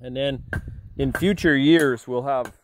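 A man's voice: mid-range voice, steady tone, measured pace, noisy background. A middle-aged man talks casually through a tour of his timber house frame outdoors, recorded on a handheld camera and rambling with many fillers. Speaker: vlogger touring his house build. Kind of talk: casual walkthrough narration.

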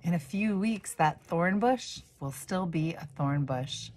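A woman's voice: fairly low pitch, animated, slow pace, a little mellow. A storybook butcher, a well-meaning man, acted out by a woman reader. He sounds kindly and wishes the best while staying skeptical. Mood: muttering doubt. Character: doubtful but well-wishing male butcher.

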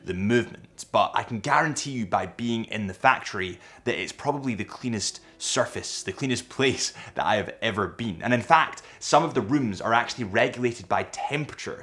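A man's voice: fairly low pitch, normal speed, hardly any pauses. A young man with a Scottish accent, enthusiastically presenting a watch factory visit from a small indoor studio set. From Scotland. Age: young adult. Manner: animated, gestures with his hands. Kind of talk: enthusiastic watch vlog narration.

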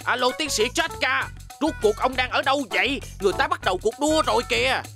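A man voicing an excitable, self-important cartoon jack of all trades, gushing in a Vietnamese dub. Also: very high-pitched, fast, vocal fry, full character voice. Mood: puzzled, concerned. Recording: loud music behind the voice.

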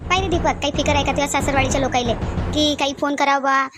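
A sharp-tongued village mother-in-law from a family cartoon comedy, acted by a woman. She speaks very high and quickly in a Varhadi Marathi accent. She is bossy and suspicious, scolding and insisting. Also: fairly even intonation, over music, natural voice. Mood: annoyed, sarcastic. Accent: Varhadi (Vidarbha) Marathi.

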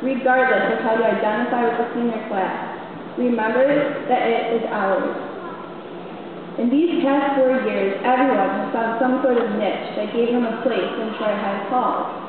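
A graduating teenage girl delivering a graduation speech with measured, deliberate pauses, heard over a podium mic. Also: a little high, fairly even intonation, a crowd in the background. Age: late teens. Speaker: graduating student speaker. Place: large hall or venue over loudspeakers. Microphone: podium mic, taped from the audience. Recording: distant echoey hall, tinny and noisy.

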